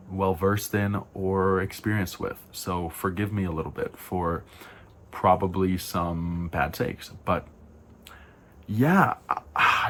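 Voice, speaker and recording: A young man with a deep voice talking casually through album reviews, frank and rambling, correcting himself with sighs and asides. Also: fairly bright tone, a little hiss or hum. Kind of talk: casual spoken album rundown.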